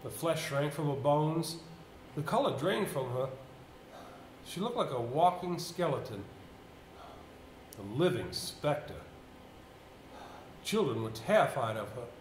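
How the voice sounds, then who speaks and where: A man on stage playing a grave local man who solemnly and haltingly recounts a village haunting. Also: fairly high pitch, expressive. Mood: grim, haunted. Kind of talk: stage play dialogue. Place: indoor theatre stage. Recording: echoey amateur stage, faint noise.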